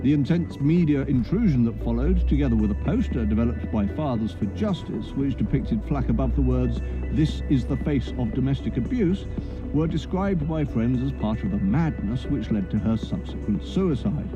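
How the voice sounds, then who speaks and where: Man acting a news reader, animated pitch. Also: normal speed, lo-fi sound, close to an everyday voice. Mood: serious. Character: sober male news reader. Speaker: news presenter. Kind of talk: scripted news report. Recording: music clearly behind the voice.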